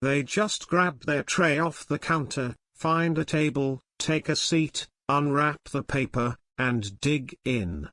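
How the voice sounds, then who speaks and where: Dry-recorded man reading in measured phrases. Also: fairly high pitch, a bit bright, no echo. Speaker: reader of exam practice passages. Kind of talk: scripted read-aloud narration.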